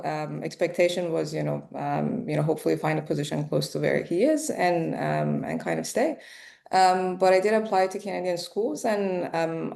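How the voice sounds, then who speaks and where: A woman in her thirties speaking as a professor on an online alumni panel, thoughtful and pausing often. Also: lowish voice, gravelly, darkish tone. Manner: thoughtful, many pauses. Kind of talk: casual panel talk. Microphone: video call.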